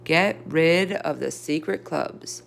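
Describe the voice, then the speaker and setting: A woman's voice: low voice, takes it slowly, gravelly, a little hiss or hum, exaggerated voice. A woman voicing a firm but caring female schoolteacher in a children's storybook.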